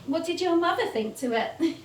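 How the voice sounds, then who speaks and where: Curious young woman, high voice, polite n tentative questions, echoey theatre recording. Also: lively intonation, moderate pace. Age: young adult. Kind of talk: theatrical stage dialogue. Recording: echoey, noisy theatre stage from far off.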